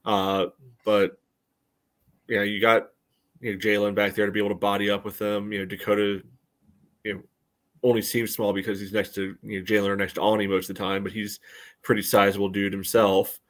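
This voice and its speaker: Low-voiced man analysing a match casually. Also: little pitch movement, brisk, pauses often. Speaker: soccer podcast co-host.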